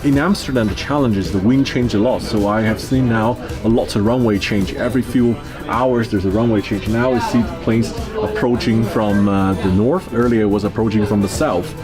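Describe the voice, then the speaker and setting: A man's voice: on the low side, moderate pace, hardly any pauses. A man hosting a casual on-location chat as an inquisitive, enthusiastic aviation fan. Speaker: video host, aviation enthusiast. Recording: music playing behind the voice. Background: music.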